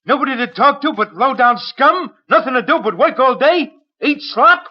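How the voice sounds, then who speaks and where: An actor playing a bitter, needling ex-convict blackmailer in an old radio crime drama. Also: male voice, very high-pitched, lively intonation, moderate pace. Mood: furious. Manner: needling, intimidating. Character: bitter, menacing male ex-convict on parole, smooth blackmailer. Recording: dull, lo-fi old radio recording.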